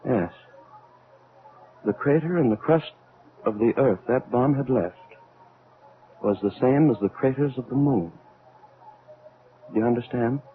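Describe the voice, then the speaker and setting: Actor playing a grave old scientist, vintage radio sound. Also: male voice, medium pitch, phone-quality sound, natural voice. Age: older. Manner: halting, brooding, theatrical delivery. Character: grave, troubled elderly scientist aboard a spaceship. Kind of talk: old-time radio drama acting. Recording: band-limited vintage radio recording.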